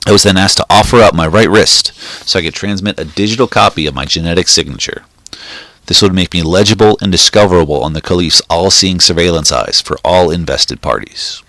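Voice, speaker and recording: Deep-voiced man reading a story, dry sound. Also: dry recording. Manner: steady, measured reading with occasional slips. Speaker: reader. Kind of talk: reading a short story aloud.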